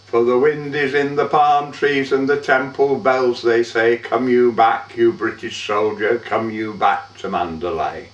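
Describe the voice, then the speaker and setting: Echoey, slow-paced man reciting verse. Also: mid-range voice, fairly even intonation. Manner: measured, theatrical, drawn-out line endings. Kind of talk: dramatic poetry recitation, monologue. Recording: dull, echoey room, far from mic.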